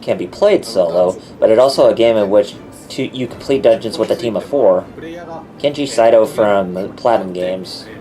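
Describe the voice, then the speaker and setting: A man's voice: lowish voice, normal speed. A man hosting a live reaction stream, chatting casually and reading viewer comments aloud. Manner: relaxed, reads viewer chat aloud and reacts. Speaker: livestream host.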